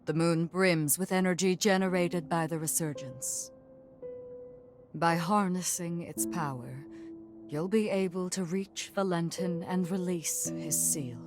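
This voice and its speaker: An actress playing a solemn, commanding mother in a video game, speaking in a grave, formal and measured way to guide heroes on a final mission. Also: fairly low pitch, music in the background, natural voice. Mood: solemn, resolute. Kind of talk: video game cutscene acting.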